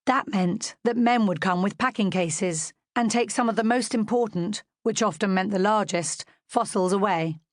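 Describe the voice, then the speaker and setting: A woman's voice: mid-range voice, normal speed, few pauses, no room sound, studio quality. A woman with a British accent narrating a children's story from a script as an audiobook reader.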